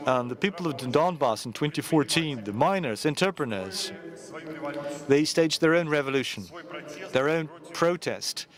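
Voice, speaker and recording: A man, a journalist and event organizer, giving loose, informal remarks at a forum, with frequent pauses and restarts. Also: on the high side, animated. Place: large conference hall.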